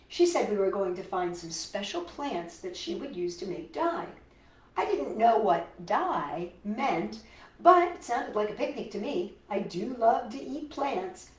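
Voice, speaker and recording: Female storytime host, very lively pitch, expressive read-aloud with chatty asides, recorded at a distance in an echoey room. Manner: expressive, childlike wonder, rhetorical questions to listeners. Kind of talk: reading a picture book aloud to children with chatty asides. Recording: roomy echo, distant microphone.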